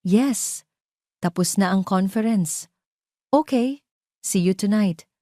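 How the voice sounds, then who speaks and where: A woman voicing a composed, self-possessed heroine with a calm, firm manner in an audio story. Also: medium pitch, slow pace, dry recording, studio quality, voice pushed up, lightly acted. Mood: cheerful, affectionate. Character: composed, self-possessed woman forgiving her former love.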